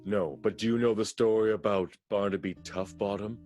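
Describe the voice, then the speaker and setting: A man's voice: fairly low pitch, fairly even intonation, moderate pace, a little mellow, faint music behind, close to an everyday voice. An actor playing a scheming, villainous man in an improvised radio play, bossy and exasperated.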